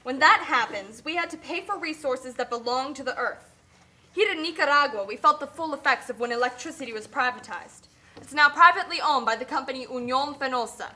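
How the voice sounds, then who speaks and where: Woman playing a high-voiced, indignant working mother. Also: fairly quick, sharp tone. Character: struggling Nicaraguan working mother, indignant and outspoken. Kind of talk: scripted puppet show dialogue.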